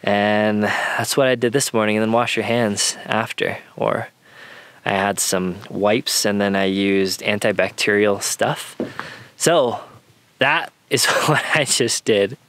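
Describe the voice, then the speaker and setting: A man's voice: fairly low pitch, steady tone, slow pace, a bit bright. A man in his thirties chatting casually as a camping vlogger, recorded on a camera held at arm's length. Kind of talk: casual vlog chat to camera. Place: inside a camper van and outdoors at a forest campsite.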